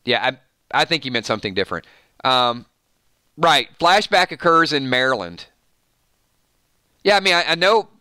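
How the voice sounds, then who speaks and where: A man's voice: mid-range voice, expressive, moderate pace, pauses often, pushed and bright, clean tone, no room sound, studio quality. A man with a Georgia accent hosting a casual live stream, rambling and laughing as he chats with viewers. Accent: Georgia (US South). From Georgia, USA. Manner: chatty, rambling, laughs often, reads viewer comments. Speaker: show host.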